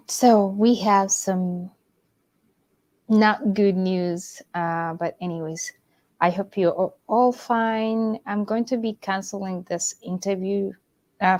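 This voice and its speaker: Lower-voiced woman hosting a livestream. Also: darkish tone, no room sound. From Uganda. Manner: hesitant, lots of fillers and restarts. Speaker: livestream host. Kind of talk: casual livestream chat.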